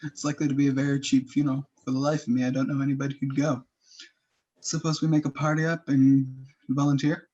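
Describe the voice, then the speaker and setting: A man playing a callous, flippant businessman in a radio play, with a husky voice. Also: mid-range voice, little pitch movement, fast talker, darkish tone, natural voice. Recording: slightly muffled video-call sound.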